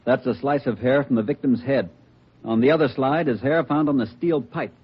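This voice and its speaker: An actor playing a brisk, wry male crime lab technician with a husky voice in an old radio police drama. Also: medium pitch, lightly acted. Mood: businesslike. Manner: wry, businesslike. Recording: muffled old radio recording.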